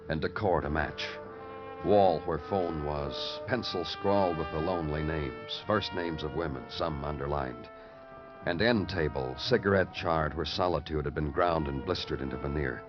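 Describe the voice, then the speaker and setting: Casting: hardened American homicide cop, low voice, brooding poetic narration, vintage radio drama sound with music behind. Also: male voice, normal speed. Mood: grim, weary. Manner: terse, clipped fragments, poetic and world-weary. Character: hardened, brooding male New York homicide detective, narrating his cases. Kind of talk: 1950s radio noir acting and poetic narration. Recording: muffled old radio recording with music.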